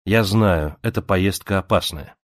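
A man's voice: sits low, a little slow. A man reading aloud who voices a passionate, jealous young woman, pleading with rising, urgent cries. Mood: suspicious.

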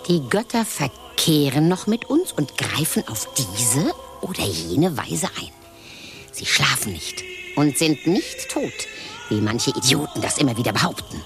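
An actress playing a wry, worldly woman who speaks calmly about fate and wishes, in a radio play. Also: low voice, unhurried, full character voice. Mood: measured, wry. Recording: radio play with music behind.

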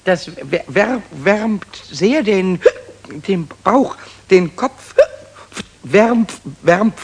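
A man's voice: sits high, animated, extremely slow, slightly rough, full character voice. A man voicing a cheeky, childlike little kobold in a children's radio play, tipsy and defiant, stammering and slurring with hiccups. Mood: tipsy, dreamy. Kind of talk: 1970s German children's radio play acting.

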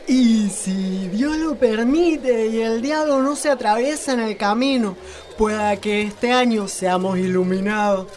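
A woman's voice: a little high, measured pace, darkish tone, a little hiss or hum, close to an everyday voice. A woman playing a timid, bumbling male village police chief in a radio play, meek and pleading. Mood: pious.

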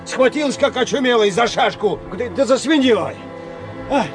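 An actor playing an excitable Cossack villager in a radio drama, grumbling and exclaiming in a folksy way. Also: male voice, very high-pitched, normal speed, music in the background. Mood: indignant, excited.

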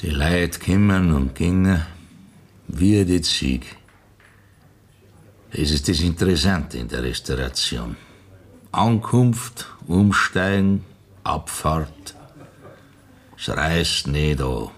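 A ponderous Bavarian pub philosopher with an extremely deep, rough voice. He muses slowly, never in a hurry, in old radio-drama style. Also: lively intonation, heavily acted. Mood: musing. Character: ponderous, unhurried philosophizing tavern idler.

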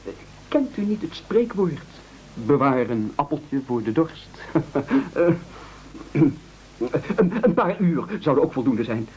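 A man's voice: a little high, sing-song, slow, a little mellow, a little hiss or hum. An actor in a radio play playing a frightened, evasive castaway who stammers, fusses and ingratiates himself as he pleads. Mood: nervous bargaining. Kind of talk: absurdist radio play acting. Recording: muffled old radio play recording.

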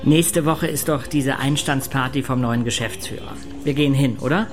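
A man's voice: medium pitch, moderate pace, over music, close to an everyday voice. An actor playing a loyal, insecure male radio producer with a plain-spoken, conversational delivery in a German radio drama. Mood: eager.

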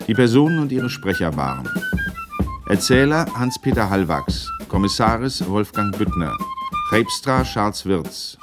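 An actor as a formal announcer reading out the credits of a German radio drama. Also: male voice, fairly low pitch, sing-song, a little slow, loud music behind the voice.

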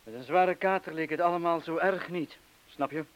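A man's voice: on the high side, normal speed, highs cut off, natural voice. An actor playing a self-doubting wartime bomb disposal man, confessing his cowardice in a colloquial, bitterly self-deprecating way, as in a radio play. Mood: bitter confession. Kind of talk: Dutch radio play acting. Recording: hissy old radio play recording.

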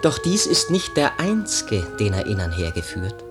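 A man giving measured, scripted literary narration for a radio play. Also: fairly low pitch, huge pitch swings. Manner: measured, deliberate. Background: music.

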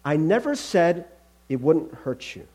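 Storybook angel played by a man: solemn, unbending and insistent, with a rough-edged husky voice. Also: on the high side, big pitch swings, mellow, full character voice. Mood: stern, calm. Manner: slow, grave, unyielding. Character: stern, insistent angel with burning hands. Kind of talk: dramatic reading of a story.

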